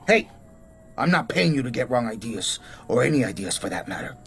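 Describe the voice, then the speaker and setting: Radio drama villain: American gangster, mid-pitched, slangy, bossy, quick to menace. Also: male voice, very animated, vocal fry, fairly bright tone, slight background noise. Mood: prickly, threatening. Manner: blunt, bossy, threatening. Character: menacing American gangster assassin, short-tempered hotel owner.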